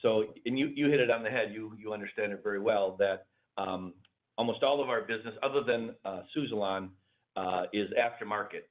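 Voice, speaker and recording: A man's voice: fairly low pitch, brisk. A man answering questions as a company executive, casually, with repeated words and everyday comparisons. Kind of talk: answering questions on a conference call. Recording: dull lo-fi call audio.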